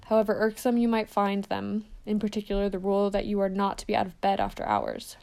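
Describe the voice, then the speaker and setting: Read by a woman, a wise old male headmaster speaks in a measured, formal way, slow and weighty. A gravelly grain runs under the voice. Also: mid-range voice, darkish tone, natural voice.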